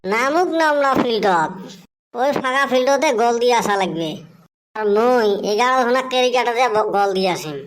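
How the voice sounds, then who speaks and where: A man voicing a cheeky, stubborn, thrifty young village lad in a cartoon comedy, with a pitch-raised voice and a northern Bengal and western Assam accent. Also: expressive, fairly quick, a bit bright. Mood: boastful. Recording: clear background noise.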